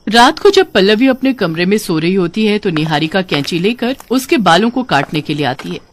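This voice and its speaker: A woman's voice: mid-range voice, animated, moderate pace, a little hiss or hum. A woman storyteller reading a scripted fairy-tale narration.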